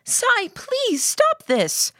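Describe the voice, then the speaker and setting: A woman's voice: high voice, very animated, unhurried, a bit bright, dry recording, clean studio sound, pitched above the performer's own voice, heavily acted. A woman voicing a distraught, emotional girl who pleads insistently in an audiobook. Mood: desperate protest.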